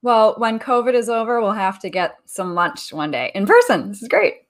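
A woman's voice: medium pitch, sing-song, moderate pace. A woman hosting a radio talk show, upbeat and friendly, reading a guest's introduction and opening the interview.

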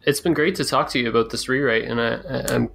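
Male interviewer, gravelly, asks probing follow-ups, casual. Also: mid-range voice, steady tone, fast, a bit bright. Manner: plain, probing follow-up questions with restarts.

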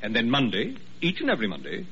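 An actor playing a fussy, anxious hospital administrator doctor in old radio drama, deferential and emphatic. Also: male voice, mid-range voice, wildly swooping pitch, quick pace, bright, forceful tone, heavily acted. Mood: portentous. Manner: fussy, fretful, emphatic repetition. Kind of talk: 1940s radio drama acting. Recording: muffled old radio recording, faint noise.

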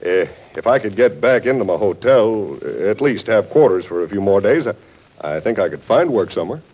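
A man's voice: lowish voice, expressive, a little fast, breathy edge. A man acting a folksy, cheeky sailor down on his luck, talking in nautical slang with familiar, hesitant patter in an old-time radio drama. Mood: pleading. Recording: dull, band-limited old radio recording.

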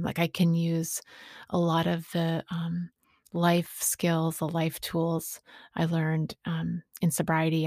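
A woman in her late forties speaks in a low, fairly flat voice with a gravelly fry at the edges. She talks reflectively about personal things, pausing often between short phrases. The sound is close and dry. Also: no echo. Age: late 40s. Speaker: podcast host speaking on sobriety.

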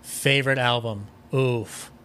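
A man's voice: medium pitch, fairly even intonation, slow, sharp tone, smooth. A man chatting casually as a podcast guest, rambling with enthusiasm and swearing now and then, with some noise behind him. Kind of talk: casual interview chat.

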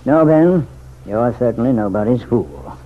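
Through a thin, phone-like vintage radio recording comes a man playing a small-town sheriff with a medium-pitched voice. He sounds worn down and guarded, answering in a laconic, folksy, dryly skeptical way. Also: animated, measured pace, lightly acted. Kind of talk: old-time radio drama acting.

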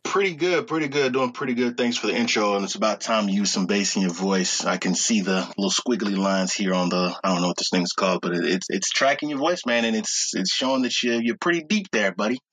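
A man's voice: fairly low pitch, fairly bright tone, breathy edge, no room sound, rough recording. Playful jokey podcast co-host man. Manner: playful, jokey, quick to laugh. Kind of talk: casual sports chat.

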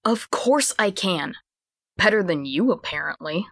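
A woman's voice: lowish voice, expressive, a little slow, a bit bright, no room sound, studio quality, exaggerated voice. A woman voicing a tiny, rude, snarky talking magical sapling in a comedic fantasy audio drama. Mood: snarky, scornful. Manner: snarky, threatening, scornful.

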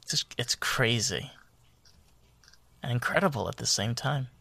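A man in casual, reflective conversation, phrasing his thoughts haltingly. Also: mid-range voice, vocal fry, pushed and bright. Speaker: conversation participant.

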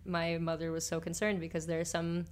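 A woman's voice: on the low side, monotone, fairly quick, a little hiss or hum. A woman from New York, a touring musician, chatting casually about songs in an easygoing way with frequent ums. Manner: easygoing, hesitant with ums, laughs easily.

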